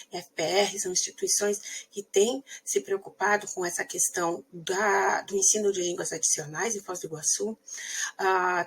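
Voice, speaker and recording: A woman's voice: mid-range voice, creaky, husky, rough recording. A young woman presenting a research text for a class, hesitant, with many fillers and restarts. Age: young adult. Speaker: student presenter.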